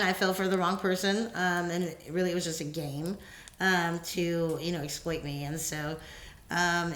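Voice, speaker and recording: This is a woman co-host with a somewhat low voice who speaks steadily and articulately. She affirms her partner and tells her own testimony plainly. The room around her sounds echoey. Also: a bit bright. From Houston, Texas. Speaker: podcast co-host. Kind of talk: casual conversation and personal testimony.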